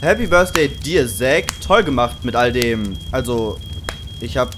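A man's voice: mid-range voice, measured pace, noisy background, natural voice. A man voicing a carefree, oblivious young prankster friend, flippant and nonchalant, in a comedic cartoon dub. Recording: loud music under the voice.

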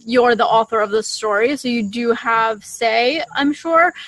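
A woman's voice: a little high, measured pace, a bit bright, no room sound, poor audio. A young adult woman chatting casually as a podcast co-host, talking into a desktop microphone outdoors. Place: outdoors in a leafy garden. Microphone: desktop condenser microphone shared between two.

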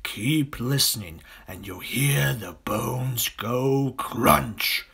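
Slow, whispery, greedy villain crocodile. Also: male voice, mid-range voice, bright, forceful tone, heavily acted. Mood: menacing, sly. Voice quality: hissing, breathy half-whisper with drawn-out words. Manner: slow, gloating, savouring menace. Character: sly, greedy, boastful enormous male crocodile, child-eating villain.

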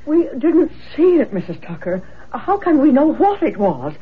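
A woman's voice: high voice, very animated, moderate pace. A woman playing an anxious but composed psychic medium out of trance in a radio mystery, earnest and hesitant. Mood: earnest, urgent. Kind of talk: radio mystery drama acting. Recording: tinny, noisy old radio recording.

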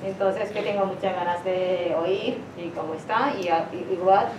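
A woman introducing the speaker at an event, talking in a halting, hesitant way. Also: fairly low pitch, steady tone. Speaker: event presenter. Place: small lecture room in a civic centre. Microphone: far camera, no mic. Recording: echoey room from far off, noisy.

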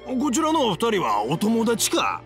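An actor playing a friendly middle-aged father and shopkeeper in a Japanese game, courteous and welcoming with a gravelly voice. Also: very high-pitched, huge pitch swings, measured pace, soft background music, heavily acted. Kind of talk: Japanese anime-style game voice acting.

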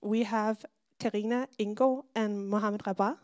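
A woman hosting an event, reading a scripted introduction of the next speakers in a slow, deliberate way. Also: mid-range voice, steady tone, darkish tone, no echo, studio quality.

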